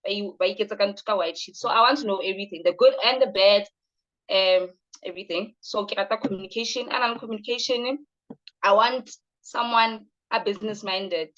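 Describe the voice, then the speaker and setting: A woman's voice: mid-range voice, fairly quick, fairly bright tone. A woman in her late thirties with a South African accent, answering interview questions directly and mixing in Sesotho phrases. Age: late 30s. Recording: slightly dull sound.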